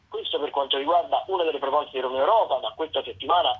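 A radio presenter giving a semi-scripted rundown of listings in a halting, discursive way, with self-corrections. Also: mid-range voice, breathy edge. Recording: muffled, phone-like radio line.

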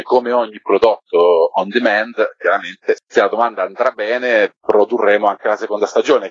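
A man's voice: on the low side, slightly rough. A man giving discursive, explanatory answers in a conversational interview. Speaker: podcast curator being interviewed. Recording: roomy, dull lo-fi line.

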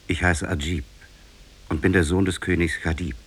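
An actor playing a grave shipwrecked prince, recounting his ordeal in solemn, measured, literary 1950s radio drama style. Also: low voice, fairly even intonation, breathy edge. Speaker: storytelling character in a radio play. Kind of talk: 1950s German radio drama, literary fairy-tale narration.

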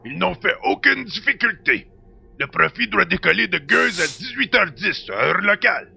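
Gravelly, high-pitched old space pirate. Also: male voice, normal speed, soft background music, exaggerated voice. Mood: matter-of-fact. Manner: animated, repeats himself, calls the hero "boy". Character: gruff, anxious old space pirate sidekick, male. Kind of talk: science-fiction audio drama acting.

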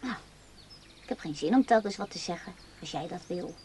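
A woman's voice: fairly low pitch, lively intonation, brisk, mellow, slight background noise. A woman acting in a radio play as a blunt, bored young woman, curt and offhand with her older lover. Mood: bored, irritated. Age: young adult. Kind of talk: naturalistic radio play dialogue.

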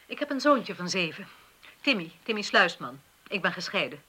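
Haughty, bright-voiced mother. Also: on the low side, sing-song, moderate pace, husky, exaggerated voice. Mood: flustered, self-absorbed. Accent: Netherlands Dutch. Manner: snobbish, cool, defensive. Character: haughty, self-absorbed divorced mother and government official. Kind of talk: Dutch radio drama acting.

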